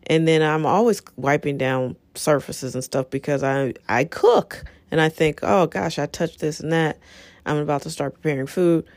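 A woman's voice: low-pitched, steady tone, normal speed, darkish tone, dry recording. A middle-aged woman with a slightly scratchy voice hosting a casual solo talk, encouraging and conversational, wandering into asides. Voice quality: a little scratchy. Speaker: show host.

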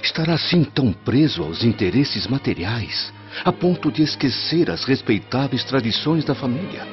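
A man's voice: medium pitch, animated, normal speed, lightly acted. An actor playing a troubled, proud Roman nobleman in a radio drama, with formal, theatrical delivery. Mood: anguished. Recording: dull, band-limited radio recording, music bed.

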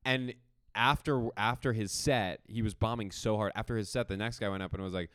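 A man hosting a casual comedy podcast, laughing often and trading quick remarks. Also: fairly low pitch, fairly even intonation, fairly bright tone, clean tone, dry recording, studio quality. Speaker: podcast host.

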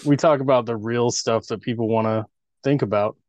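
A man's voice: medium pitch, fairly even intonation, a little fast, a little mellow, no echo. A man in his thirties co-hosting a casual podcast, joking crudely and laughing often. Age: 30s. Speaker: podcast co-host.

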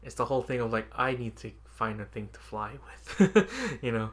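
A man's voice: mid-range voice, lively intonation, moderate pace. A man co-hosting a podcast, chatting casually about video games and often chuckling as he talks. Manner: chuckles often while talking. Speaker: podcast co-host.

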